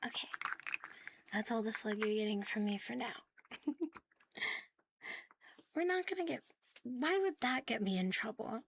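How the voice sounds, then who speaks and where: A woman hosting a live ASMR stream, chatting casually and playfully in a soft, breathy voice that often slips into a whisper. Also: on the high side, sing-song, a little slow, pauses often, slight background noise. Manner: playful, giggly, teasing. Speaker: ASMR livestream host.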